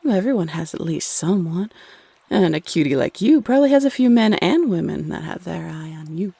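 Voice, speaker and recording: A woman playing a flirty, playfully condescending female Cupid in an intimate roleplay audio, sultry and coy with drawn-out phrasing. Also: lowish voice, lively intonation, vocal fry, dark tone, slightly rough, no room sound, heavily acted. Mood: reassuring, flirty.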